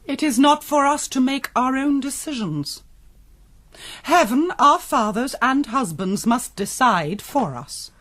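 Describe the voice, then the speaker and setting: A woman's voice: sits high, expressive, a little slow, a bit bright, heavily acted. A woman playing a pious, submissive noble mother in a Gothic radio drama, formal and earnest in delivery. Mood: resigned, pious.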